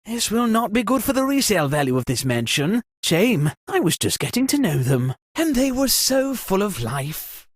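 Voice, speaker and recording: An actor playing a flustered, spoiled young lord in a comedic video game, theatrical, whiny and pompous. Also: male voice, high-pitched, sing-song, moderate pace, few pauses, no echo. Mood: exasperated, dismayed. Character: flustered, spoiled rich young lord hosting a murder party. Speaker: game host.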